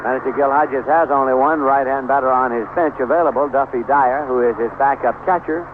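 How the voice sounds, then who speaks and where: American man, radio baseball commentary, measured clipped calls, thin AM sound, steady noise. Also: medium pitch, narrow, tinny audio. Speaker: baseball play-by-play announcer. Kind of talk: live radio sports commentary. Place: stadium broadcast booth. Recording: noisy band-limited 1970s AM radio broadcast.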